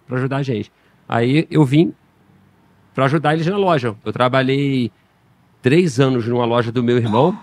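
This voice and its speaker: A middle-aged man with a slight Minas Gerais accent talks as a podcast guest in a relaxed, hesitant way, often restarting his sentences. His voice sits at an average pitch. The sound is dry and close. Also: no room sound. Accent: slight Minas Gerais Brazilian Portuguese. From Minas Gerais, Brazil. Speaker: podcast guest, bike shop owner. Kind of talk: casual interview chat.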